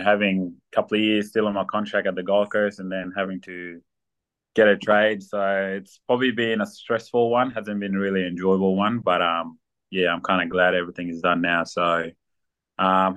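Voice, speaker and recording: A young man from South Sudan, a guest athlete chatting casually in an interview, relaxed and full of ums. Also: low-pitched. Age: young adult. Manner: relaxed, many ums and fillers.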